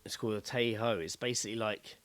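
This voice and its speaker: A man's voice: on the low side, fairly even intonation, quick pace, fairly bright tone, no room sound. A man with a southern English accent co-hosting a podcast in casual, jokey, sweary banter. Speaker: podcast co-host.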